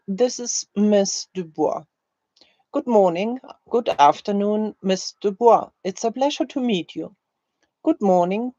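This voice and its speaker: A woman teaching a language, reading scripted drill phrases in a deliberate, carefully spaced way. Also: mid-range voice, dark tone, no echo, lo-fi sound. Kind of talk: slow, scripted language-learning drill, read aloud.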